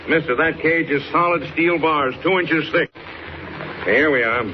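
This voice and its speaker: A man's voice: a little high, a little slow, close to an everyday voice. An actor playing a shifty, blunt, slangy henchman who handles circus animals, in 1940s radio serial style. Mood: slyly reassuring. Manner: blunt, slangy, plain-spoken. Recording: noisy muffled old radio recording.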